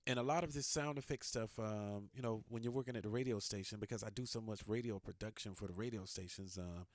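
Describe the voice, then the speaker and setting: A man's voice: fairly low pitch, quick pace. A man giving an informal step-by-step production tutorial as a DJ, thinking aloud while he works.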